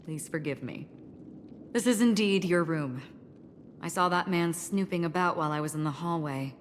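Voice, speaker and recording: A woman voicing a calm, enigmatic wandering swordswoman in a video game, polite, solemn and measured. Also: fairly low pitch, a little hiss or hum, close to an everyday voice. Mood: polite, reserved.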